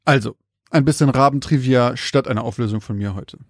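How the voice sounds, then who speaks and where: A man's voice: mid-range voice, fairly quick, no room sound, studio quality. A man chatting casually as a podcast co-host, telling things in a colloquial storytelling way.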